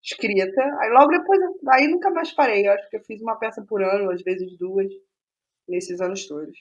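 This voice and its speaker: A woman's voice: medium pitch, brisk, dull audio. A Brazilian woman being interviewed as a playwright, talking casually and reflectively, thinking aloud and wandering into digressions.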